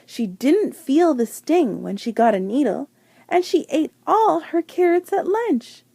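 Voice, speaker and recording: Expressive, high-pitched woman reading a storybook. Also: vocal fry, no room sound. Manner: deliberate, dramatic phrasing with emphasised words. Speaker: teacher reading a picture book aloud. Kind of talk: expressive children's storybook read-aloud.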